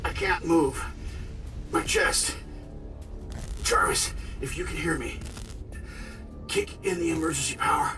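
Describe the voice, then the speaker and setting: Armored hero inventor: cocky, urgent, sarcastic, slightly high, over loud music. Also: male voice, slow, pauses often. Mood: pained, breathless. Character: cocky, quick-witted armored superhero inventor under pressure. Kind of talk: scripted video game cutscene acting. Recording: distant voice under loud game music.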